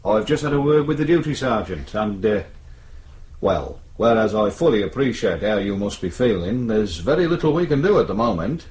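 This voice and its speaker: A man's voice: on the low side, expressive, normal speed, a little mellow, a little hiss or hum, close to an everyday voice. An actor playing a world-weary, sardonic English detective sergeant in his forties in a radio drama. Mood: formal, placating. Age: 40s.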